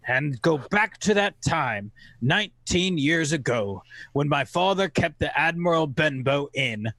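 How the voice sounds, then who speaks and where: A man playing a grown adventurer who recalls his boyhood as formal, measured radio-play narration. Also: on the high side, creaky, sharp tone, no room sound, exaggerated voice, rough recording.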